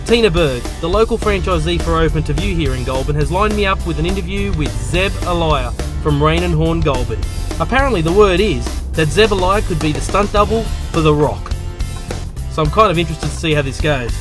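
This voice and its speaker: A man hosts a friendly interview. His voice sits a little higher than most men's. He is polite and chatty, with faint music in the background. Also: expressive, a little fast. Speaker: interviewer and host.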